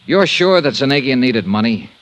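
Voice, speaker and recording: An actor playing a tough, wry insurance investigator, narrating and talking in a 1950s radio drama with crisp, brisk delivery. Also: male voice, medium pitch, very animated, close to an everyday voice, low-quality recording. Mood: determined. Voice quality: crisp, brisk, dry wit.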